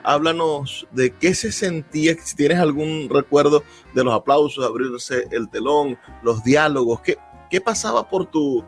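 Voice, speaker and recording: A man with a Venezuelan accent hosting a radio interview and putting questions to his guest. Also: mid-range voice.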